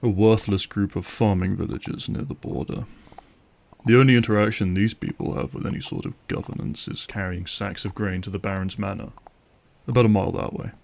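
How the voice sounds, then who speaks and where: A man plays a guarded monster hunter in a fantasy roleplay. His voice is fairly low with a heavy creak. He speaks in a terse, dry manner. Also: darkish tone. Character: wry, guarded male vampire hunter, gruff protective ally. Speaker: voice actor playing a vampire hunter. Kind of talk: fantasy audio roleplay acting.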